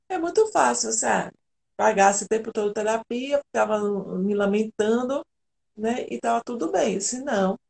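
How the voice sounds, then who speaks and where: A woman's voice: medium pitch, creaky, a little mellow. A woman from Argentina, an integrative therapist, telling her personal story earnestly and candidly as a guest on a live video call.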